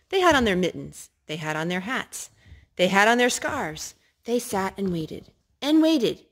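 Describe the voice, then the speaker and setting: A woman reading a picture book aloud to a young child, gently asking him questions between pages. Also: fairly low pitch, breathy edge. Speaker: storyteller.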